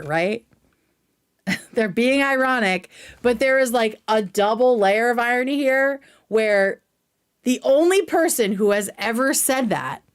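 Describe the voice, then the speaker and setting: Casual woman livestreamer talking through commentary. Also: a little high. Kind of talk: casual reaction commentary to clips.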